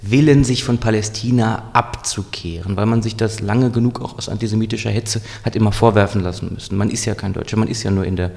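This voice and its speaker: A man answering interview questions in a measured, explanatory way, often restarting his sentences. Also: on the low side, fairly even intonation, vocal fry, a little mellow, big-room sound. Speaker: historian guest.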